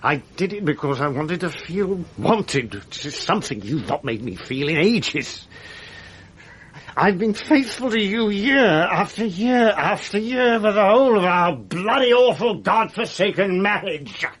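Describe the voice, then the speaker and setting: An actor playing a guilt-ridden, self-loathing English husband in a radio drama, educated and apologetic, with a gravelly voice. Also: male voice, sits high, expressive, measured pace, fairly bright tone, full character voice. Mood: bitter outburst. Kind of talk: radio drama acting, dialogue and first-person narration. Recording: 1980s radio drama with faint music.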